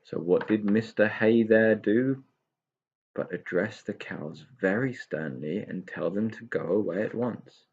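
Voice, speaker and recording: A man reading a children's story aloud with expressive narration. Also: lowish voice, unhurried, breathy edge, lo-fi sound.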